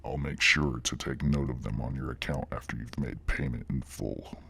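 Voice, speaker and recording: A man voicing a menacing reptilian demon boss with a deep gravelly growl, speaking in slow, mock-polite, condescending corporate fashion. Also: fairly even intonation, bright, forceful tone, a little hiss or hum, exaggerated voice. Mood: smugly menacing.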